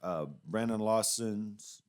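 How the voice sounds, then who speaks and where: A man's voice: lowish voice, takes it slowly, clean tone. A man giving a casual, unscripted review in a rambling, conversational way, often hedging as he goes. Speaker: YouTube reviewer.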